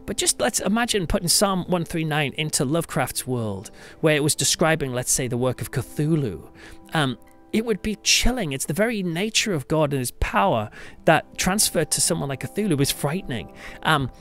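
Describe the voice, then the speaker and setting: Male podcast host, very lively intonation, emphatic and reflective, conversational monologue with light music underneath. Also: a little high, normal speed. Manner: animated, emphatic, reflective storytelling delivery. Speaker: podcast host and church pastor.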